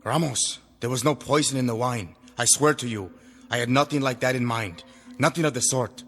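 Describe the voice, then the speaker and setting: An actor playing a proud, earnest revolutionary lieutenant in a 1960s television drama, speaking with emphatic, theatrical insistence. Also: male voice, mid-range voice, moderate pace, close to an everyday voice. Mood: urgent pleading. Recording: old TV soundtrack, echoing, loud music behind.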